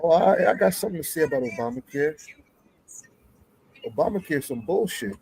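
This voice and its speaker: A man's voice: a little high, lively intonation, brisk, gravelly, a little mellow, slight background noise, low-quality recording. A man from New York in a casual group discussion, rambling, correcting himself and arguing his point. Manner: rambling, self-correcting, argumentative.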